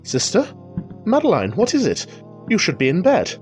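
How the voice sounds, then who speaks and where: An actor playing an anguished, reclusive gentleman in a gothic radio drama, speaking in a formal, fretful, melodramatic way. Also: sits high, wildly swooping pitch, normal speed, faint music behind. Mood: anxious. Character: anguished, nervous reclusive gentleman, doomed last heir.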